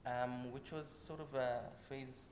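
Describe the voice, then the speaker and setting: A man's voice: mid-range voice, slow. Kenyan man telling stories in an echoing hall. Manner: animated, laughing, with many restarts and repeated words. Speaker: guest musician. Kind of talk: casual storytelling on a talk show. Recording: roomy hall with long echo, faint noise.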